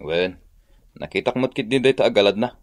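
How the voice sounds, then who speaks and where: A man with an Ilocano accent playing a calm, placating cousin in a radio drama. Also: fairly low pitch, a little fast, no room sound, lightly acted. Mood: subdued, conversational. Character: calm peacemaking male cousin back from prison.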